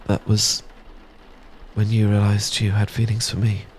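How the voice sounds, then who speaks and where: A British man acting a nervous, smitten young man in a romantic roleplay, stammering and halting earnestly as he talks. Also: lowish voice, little pitch movement, a little hiss or hum, natural voice, rough recording. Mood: flustered, pleading. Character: nervous, smitten young man confessing to his best friend.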